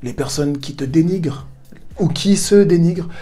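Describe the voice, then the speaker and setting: A man's voice: fairly high pitch, moderate pace, mellow, faint music behind. A man hosting a self-help video, coaching the viewer in a casual, direct way with playful asides. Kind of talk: direct-to-camera coaching talk. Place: home in southern Spain.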